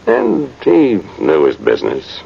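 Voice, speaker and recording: A man's voice: very deep voice, huge pitch swings, unhurried, vocal fry, exaggerated voice. An American man playing a folksy, plain-spoken old cavalry sergeant telling his tale in a vintage radio drama. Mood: reminiscing. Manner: rustic, rambling, plain-spoken old soldier. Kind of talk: 1950s radio drama narration. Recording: muffled noisy vintage radio recording.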